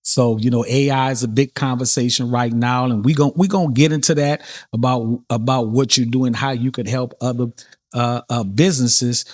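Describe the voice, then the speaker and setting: A man with a New Orleans accent hosting a podcast, talking in a relaxed, rambling way with many fillers and restarts. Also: medium pitch, normal speed, no echo, clean studio sound. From New Orleans, USA. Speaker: podcast host. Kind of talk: casual interview chat.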